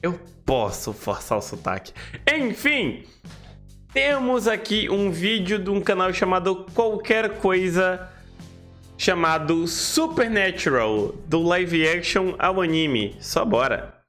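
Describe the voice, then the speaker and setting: A man with a Bahian accent reacts to a video, talking casually and throwing in emphatic remarks. His voice sits a little high for a man. Faint music plays under his talk. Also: very animated, takes it slowly, fairly bright tone. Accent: Baiano (Salvador, Brazil). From Bahia, Brazil. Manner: chatty, emphatic interjections. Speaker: reaction host.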